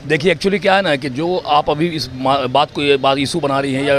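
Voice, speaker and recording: A man's voice: a little high, fairly even intonation, normal speed, a bit bright, a little hiss or hum. A man with a Bihari accent in a street interview, speaking formally and emphatically, piling up synonyms. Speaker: local resident interviewed. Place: outdoor street. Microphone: handheld reporter microphone.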